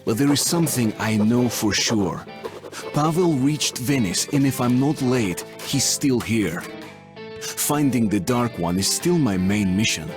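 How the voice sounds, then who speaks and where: A man's voice: medium pitch, close to an everyday voice. A man playing a grave, duty-bound soldier who narrates his journey in a video game. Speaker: protagonist narrator.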